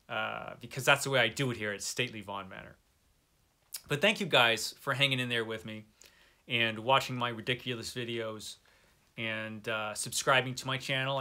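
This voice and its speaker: A man's voice: medium pitch, pushed and bright. A man hosting a book vlog in casual, unscripted chat, informal and self-deprecating, drawing out words for emphasis. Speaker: book vlogger, channel host.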